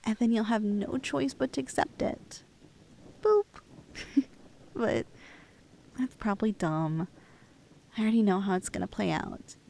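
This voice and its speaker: A woman's voice: mid-range voice, lively intonation, a little slow, creaky, pauses often, warm tone, a little hiss or hum. A woman playing a lovestruck, clingy young woman in an intimate ASMR roleplay, playful and hesitant, with a teasingly unhinged streak. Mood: tender, playful.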